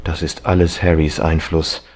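Man acting a low, sorrowful, earnest painter. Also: level tone, moderate pace. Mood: sad, reproachful. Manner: hushed, strained, earnest. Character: grieving, reproachful male painter, earnest and gentle.

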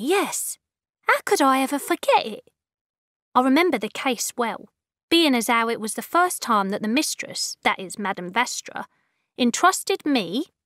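A woman's voice: on the high side, fairly bright tone, no room sound, clean studio sound, exaggerated voice. A woman playing cheeky Flint. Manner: chatty, cheeky. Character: Jenny Flint, young Victorian maid, plucky and cheeky. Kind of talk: first-person audiobook narration.

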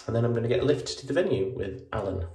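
A man's voice: mid-range voice, lively intonation, rapid-fire, warm tone, slightly rough. Chatty young man vlogging on a phone. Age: 20s. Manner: chatty, jokey, talks to a handheld camera. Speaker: touring musician vlogging. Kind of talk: casual vlog narration. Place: van, hotel room and small venue. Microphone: phone or camera on a handheld grip. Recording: noisy handheld phone audio.

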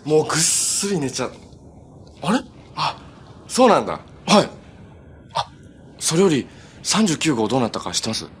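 An actor playing a cheerful, earnest young superhero in a live-action TV drama, polite and easygoing. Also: male voice, on the high side, very animated, normal speed, pauses often, slightly rough, full character voice. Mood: casual, sheepish. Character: cheerful, earnest young male hero who fights monsters.

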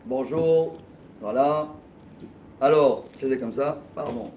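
A man in his forties, a rabbi teaching a lively Torah class in a classroom, telling stories with laughter and gestures into a close webcam. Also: mid-range voice, takes it slowly, a little hiss or hum. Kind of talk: lively storytelling lesson. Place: classroom with bookshelves, indoors. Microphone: laptop webcam at close range.

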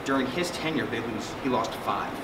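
A man's voice: medium pitch, expressive, brisk. Middle-aged male psychiatrist, chatty conference talk, distant mic, roomy echo, air conditioning hum. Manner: conversational, joking, frequent fillers and restarts. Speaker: psychiatrist presenting at a symposium. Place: conference room with projector screen.

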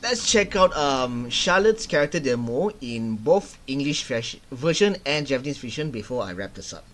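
A man's voice: mid-range voice, big pitch swings, normal speed, fairly bright tone, soft background music. A young man hosting a gaming reaction video, commenting casually into a desk mic in a small room at home. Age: young adult. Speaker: gaming channel host reacting to a trailer. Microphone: desk microphone on a webcam setup.

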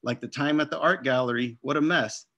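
A man voicing a gentle but firm mother who soothes and scolds her daughter, over a video call. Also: medium pitch, fairly quick.

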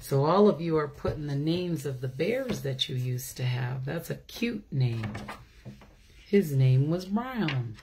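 A woman's voice: sits low, animated, warm tone, slight background noise. An older woman hosting a children's story time, reading aloud with chatty asides and questions to her listeners. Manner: slow, asks listeners questions. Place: small room at home, indoors. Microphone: phone or webcam at arm's length.

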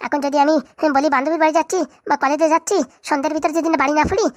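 A woman's voice: sits high, fairly even intonation, very fast, no echo, clean studio sound, close to an everyday voice. A woman voicing a sly, bossy young girlfriend in a comic cartoon, glib and colloquial with a Bengali accent. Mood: worried, persuasive. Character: sly, bossy young woman scheming to fleece her boyfriend.

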